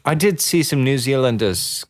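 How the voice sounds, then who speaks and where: A young man co-hosting an improvised comedy podcast, his pitch swinging up and down as he jokes. He keeps pulling the banter back on track, with a mid-range voice. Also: expressive, moderate pace. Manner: jokey, keeps steering the talk back on track. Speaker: podcast co-host.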